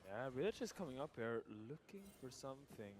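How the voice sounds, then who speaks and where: A man's voice: mid-range voice, big pitch swings, a little hiss or hum. A man giving analytical color commentary on an esports match, explaining plays. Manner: quick, explanatory. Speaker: esports caster.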